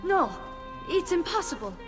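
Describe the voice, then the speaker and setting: High-voiced, anxious Italian girl. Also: sing-song, normal speed, big-room sound, over music. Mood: distressed. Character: anxious, emotional young Italian sister. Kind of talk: video game drama acting.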